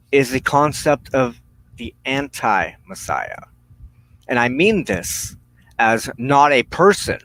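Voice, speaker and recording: A man giving a spiritual teaching monologue as a broadcast host, solemn and deliberate, speaking in halting phrases with pauses. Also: medium pitch, fairly bright tone, dry recording, low-quality recording. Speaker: religious teacher hosting a broadcast on witchcraft.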